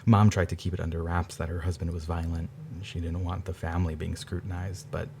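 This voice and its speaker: A man playing a deep, gravelly grieving brother. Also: little pitch movement, slight background noise. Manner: halting, quiet, restarts under strain. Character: grieving older brother, guarded and earnest.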